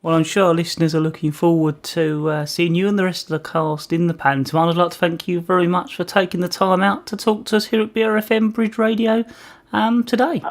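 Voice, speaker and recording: A man's voice: high-pitched, little pitch movement, fairly quick. A man hosting a casual community radio interview, asking questions in a polite, tentative way.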